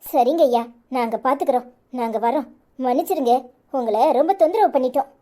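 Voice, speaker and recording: A woman's voice: high-pitched, fairly quick, warm tone, lightly acted. A woman voicing a concerned, sensible young village woman, sympathetic and advising in a cartoon drama. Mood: polite.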